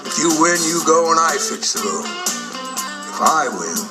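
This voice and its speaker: A man playing a gruff, stern old race car who judges, blunt and folksy in a storybook recording. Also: a little high, very animated, unhurried, low-quality recording. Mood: scornful. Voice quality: gravelly. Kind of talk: storybook character acting. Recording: loud music behind the voice.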